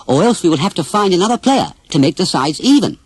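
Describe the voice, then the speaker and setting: A man plays a boisterous schoolboy in a children's storybook. He is blunt and bossy, his pitch leaping about, with a husky edge and a dry, close sound. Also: big pitch swings, fast talker, dry recording, full character voice.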